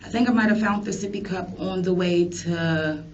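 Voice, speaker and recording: A woman's voice: lowish voice, moderate pace, a little mellow. A young woman answering police questions in a tentative, matter-of-fact way. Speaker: interviewee in a police interrogation. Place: indoor police interview room. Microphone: distant room microphone. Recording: distant, muffled, noisy interview recording.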